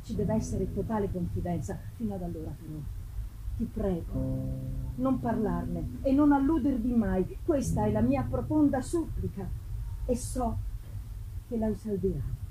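A woman voicing a tormented, dread-filled young gentleman, grave and theatrical, in a first-person gothic novel reading with long pauses. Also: mid-range voice, music in the background, lightly acted, rough recording. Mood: grave, confessing. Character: tormented young gentleman haunted by dread, confessing to his beloved.

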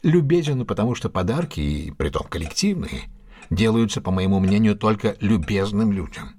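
Male detective, audio drama: low, rough-edged, very expressive. Keen, didactic, faintly superior. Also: normal speed. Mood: triumphant, precise. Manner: didactic, theatrical, reasoning step by step. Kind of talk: Russian audio drama acting.

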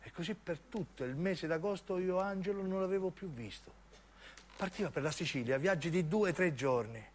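High-pitched southern Italian man, dramatic storyteller. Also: normal speed, slight background noise. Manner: emphatic, incantatory repetition, acting out the characters as he tells. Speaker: narrating actor. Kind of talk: theatrical dramatic monologue storytelling for radio.

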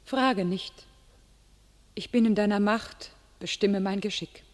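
A woman's voice: mid-range voice, takes it slowly, natural voice. A woman playing a solemn young warrior maiden. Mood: resigned. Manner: solemn, restrained. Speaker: heroine. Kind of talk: classic stage-verse radio drama.

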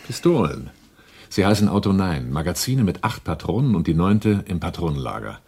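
An actor playing a shrewd, cool-headed arms smuggler in a German radio drama, husky and matter-of-fact. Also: male voice, low voice, very animated, normal speed, a little mellow, no echo, full character voice.

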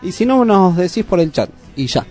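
A man's voice: high-pitched, very animated, moderate pace, darkish tone, soft background music. A man with an Argentine accent hosting a casual community radio show, chatty and teasing. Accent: Argentine Spanish. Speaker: radio host. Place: radio studio.

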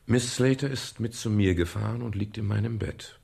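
Gravelly, low-voiced, world-weary private detective. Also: male voice, darkish tone, heavily acted. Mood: wry, guarded. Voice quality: gravelly, husky. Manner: wry, measured storytelling. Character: world-weary male private detective narrating his case. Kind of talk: German crime radio play, first-person narration and dialogue.

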